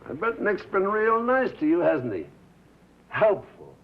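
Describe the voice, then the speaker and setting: Cocky, high-voiced American bandleader. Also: male voice, wildly swooping pitch, unhurried, full character voice. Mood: scornful. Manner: slangy, cocky, punchy street talk. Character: brash, defensive male bandleader, fiercely loyal, wise-guy talker. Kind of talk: 1950s American film drama acting. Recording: muffled vintage film soundtrack.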